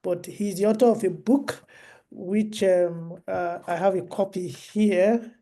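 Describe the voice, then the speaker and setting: A woman hosting an online book discussion over a video call, speaking in a semi-formal, halting and deliberate way. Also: mid-range voice, steady tone, warm tone.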